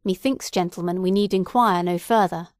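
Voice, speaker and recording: A woman's voice: fairly low pitch, normal speed, no room sound, clean studio sound, natural voice. A woman reading the part of a stern old male Puritan governor who speaks in a judging tone.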